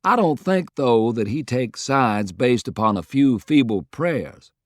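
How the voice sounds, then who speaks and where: A man voicing a shrewd, persuasive Confederate statesman in an audiobook, speaking in a deliberate, eloquent way. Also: medium pitch, lively intonation, no room sound, clean studio sound, lightly acted. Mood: wry. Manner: deliberate, articulate, rhetorical.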